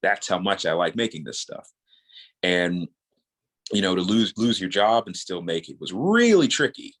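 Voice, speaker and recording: A man's voice: low-pitched, sing-song, moderate pace. A man talking casually as an interview guest, earnest and rambling, often repeating words as he works out his thought. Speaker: podcast guest, audio drama creator.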